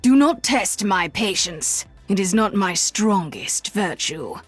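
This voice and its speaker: A woman playing a cool, impatient huntress in a video game, speaking in an imperious, measured way. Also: mid-range voice, expressive, breathy edge, no echo, faint music behind. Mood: stern, impatient. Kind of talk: video game character voice lines.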